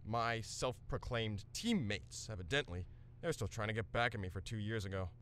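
A man's voice: lowish voice, normal speed, a bit bright, a little hiss or hum, close to an everyday voice. A man voicing a melodramatic, haughty teenage boy in a comedic anime parody dub, theatrical and indignant. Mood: defensive. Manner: theatrical, indignant, self-important.

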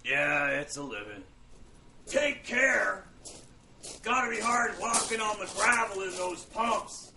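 A man playing a fastidious demon torturer in a comic fantasy audio drama, put-upon and offhand like a grumbling workman. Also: high voice, lively intonation, background noise, full character voice. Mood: weary, offhand. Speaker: voice actor.

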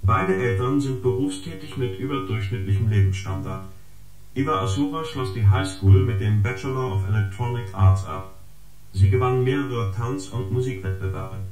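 An actor playing a smug surveillance agent in a radio drama, reporting a dossier with precise, formal, sardonic delivery. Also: male voice, mid-range voice, moderate pace, lightly acted. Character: smug, gossiping surveillance agent or unit, dryly needling. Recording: echoing space, loud guitar music.

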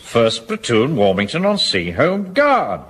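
An actor playing a pompous, blustering middle-aged English Home Guard captain in a radio sitcom, with clipped speech. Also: male voice, mid-range voice, wildly swooping pitch, unhurried, fairly bright tone. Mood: exasperated. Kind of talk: 1970s British radio sitcom acting. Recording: old radio comedy with background hiss.

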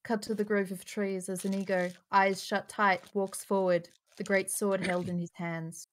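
A woman's voice: mid-range voice, little pitch movement, normal speed, slight background noise. Woman reading screenplay stage directions aloud. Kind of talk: live table read of a screenplay, with casual asides. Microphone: home microphone on a group video call.

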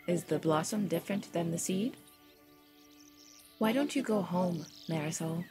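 An actress playing a serene, all-knowing stranger in an audio drama, speaking in measured, formal, solemn phrases, kind but unyielding. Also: female voice, fairly low pitch, animated, a little mellow, soft background music. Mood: firm, kind. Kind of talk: audio fiction drama acting.

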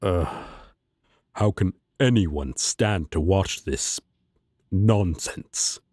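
A man's voice: deep voice, very slow, a little mellow, no room sound, studio quality, heavily acted. A man playing a haughty, protective angel in an audio drama, speaking in a formal, wary, disdainful manner. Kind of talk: roleplay audio drama acting.

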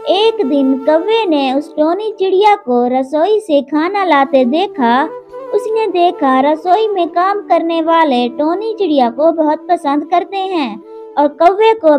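A woman narrating a children's moral story in a slow storytelling manner, with music behind. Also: very high-pitched, a little mellow. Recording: narration over cartoon music.

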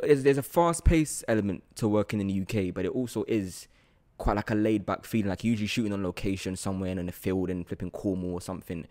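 A man's voice: lowish voice, a little mellow. A British man in his twenties hosting a casual interview, talking in an enthusiastic, slangy way. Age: 20s. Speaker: podcast host. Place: indoor studio room with large windows. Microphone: close microphone on a boom arm.

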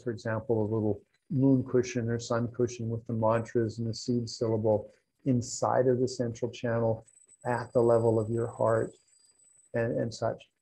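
Older male Buddhist teacher, gravelly and husky, explains patiently with asides, lapel mic at home. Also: mid-range voice, mellow, poor audio. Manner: explanatory, restarts and asides, hands gesturing. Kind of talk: teaching an online class. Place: room at home with wall hangings.

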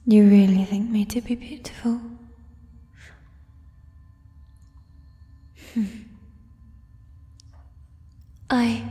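A giant mushroom troll girl, played by a woman whispers in an ASMR roleplay, doting and quietly possessive. Her breathy voice is warm and very slow, with formal, coaxing phrasing. It rings in a long cavern-like reverb over soft music. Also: fairly high pitch. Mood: tender, teasing. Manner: unhurried, formal, old-fashioned phrasing, coaxing. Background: fantasy ambience music.

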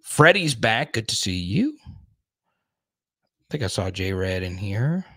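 A man's voice: fairly low pitch, slow pace, slightly rough. Chatty man hosting a live stream. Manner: animated, repeats words, reads chat aloud. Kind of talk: casual live stream chat. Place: small room indoors. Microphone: studio mic on boom arm in front.

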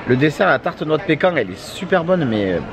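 Guy in his 30s doing a casual vlog, highish voice, repeats himself, busy restaurant crowd behind. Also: very animated, fast. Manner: repeats himself, tags phrases with "hein". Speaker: vlogger. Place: large wooden restaurant hall, indoors. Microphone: handheld camera at arm's length.

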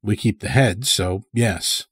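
A man's voice: lowish voice, slow pace, breathy edge, no echo, heavily acted. A man voicing a terse, guarded hunting lodge master in an audio story, curt and restrained. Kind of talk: dramatic audio story character.

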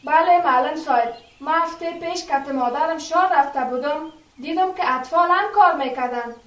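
A polite village schoolgirl, played by a woman in a radio drama. Her high voice is earnest and deferential, sounding set back from the mic in a reverberant room. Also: a bit bright. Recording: echoey room, distant from mic.

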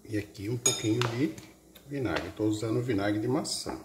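A man's voice: on the low side, normal speed, background noise. Homely man talking through a home recipe. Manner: homely, explains each step with diminutives. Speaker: home cook, husband of the channel host. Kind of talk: casual cooking vlog narration. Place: home kitchen, overhead camera on the counter. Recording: echoey kitchen with loud clatter of dishes.

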